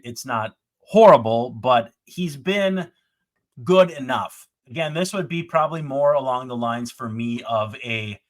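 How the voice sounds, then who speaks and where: A man's voice: mid-range voice, a bit bright. Man talking baseball stats on a podcast. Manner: rattles off stats in an analytical tone. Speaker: podcast co-host. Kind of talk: casual sports chat.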